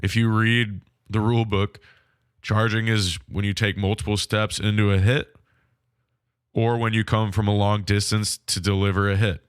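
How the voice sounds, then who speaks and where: A man hosting a sports podcast on his own, sounding nasal from a cold, talking through a game in a frank, measured way. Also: fairly low pitch, level tone, clean tone, dry recording, studio quality. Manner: frank, measured hockey analysis. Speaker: podcast host. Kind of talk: solo sports podcast recap.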